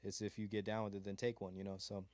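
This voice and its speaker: A man's voice: fairly low pitch, flat delivery, quick pace, a little mellow. A man from California's Mojave desert, a beat maker being interviewed, talking in a laid-back, rambling way full of fillers and slang. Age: adult with a child. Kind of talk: casual interview chat.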